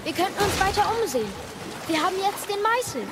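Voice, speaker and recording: Woman doing a young boy, high pitch, eager, defiant, impulsive, youthful, game audio drama, music behind. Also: animated, quick pace. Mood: eager, questioning.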